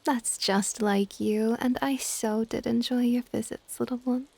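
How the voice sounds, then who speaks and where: A woman playing a possessive, affectionate dragon goddess in an ASMR audio roleplay, with a soft, whispery, teasing and intimate delivery. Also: steady tone. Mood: affectionate, doting. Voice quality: soft, breathy whispering mixed with low-volume speech. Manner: doting, teasing, unhurried.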